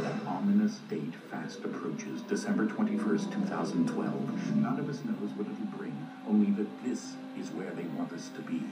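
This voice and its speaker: Game cinematic narrator: man acting as weary assassin leader and father, very low solemn voice, echoey under loud music. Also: recorded from a distance, close to an everyday voice. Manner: solemn, deliberate storytelling.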